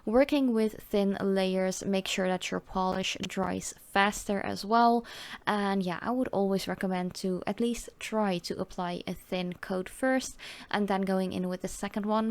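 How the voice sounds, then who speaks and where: A Dutch woman around thirty, speaking English with a Dutch accent and reviewing nail polish in a relaxed, chatty way full of "like" and "you know." Her voice sits at a middle pitch for a woman. It is recorded close up in a dry room with no echo. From Netherlands. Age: 30s. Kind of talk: casual product review.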